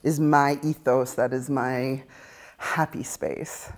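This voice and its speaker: A woman's voice: extremely low pitch, steady tone, slow. A woman, a marine conservationist, explaining her work in an interview with frequent laughs. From Bahamas. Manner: warm, laughs often, explanatory. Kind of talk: interview conversation.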